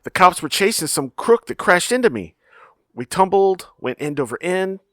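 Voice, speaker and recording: Scrappy teen son, highish voice, bouncy intonation, eager, defensive, earnest, audio drama. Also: male voice, sing-song, moderate pace, fairly bright tone, no room sound, clean studio sound. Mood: excited, defensive. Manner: eager, defensive, boyish talk. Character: scrappy teenage son, cocky, earnest, protective of his mother.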